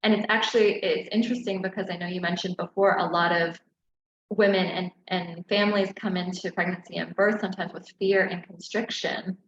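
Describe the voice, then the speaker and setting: A woman in her thirties speaks earnestly and reflectively on a video call, her lowish voice often turning to "right?". Her earbud mic gives dull, lo-fi sound in an echoey room with faint background noise. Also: steady tone, a little fast. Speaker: doula and educator, guest. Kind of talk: interview answers. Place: room at home, indoors. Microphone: earbud headset on video call.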